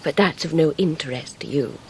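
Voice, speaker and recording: An actress playing a bitter, haughty gentlewoman in a period radio drama, with a cutting, contemptuous delivery. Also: sits low, a little fast, a little hiss or hum, low-quality recording. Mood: cold scorn. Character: bitter, haughty, scornful gentlewoman of Victorian England.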